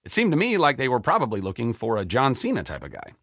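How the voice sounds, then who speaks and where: A man in his forties reading his own memoir aloud as an audiobook, in a candid, expressive storytelling manner. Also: quick pace, no echo, studio quality. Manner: expressive, candid storytelling with wide pitch swings.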